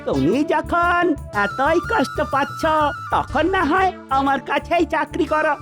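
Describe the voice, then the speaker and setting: A woman acts out a sly old devil from a cartoon, high in pitch and slow in delivery. He taunts and wheedles, with music playing behind him. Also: sing-song. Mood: wheedling. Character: sly old male devil with goat hooves, taunting tempter. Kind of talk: animated cartoon dub.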